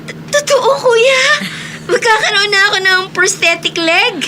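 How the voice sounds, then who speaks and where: A woman's voice: very high-pitched, animated, unhurried. An actress playing a hopeful young woman learning to walk again in a radio drama, speaking eagerly and politely. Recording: noisy recording.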